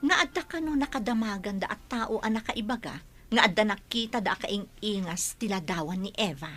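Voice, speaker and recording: A woman's voice: mid-range voice, a little fast, a bit bright, soft background music, close to an everyday voice. An actress playing a spiteful, scheming wealthy woman in an Ilocano radio drama. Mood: annoyed, spiteful.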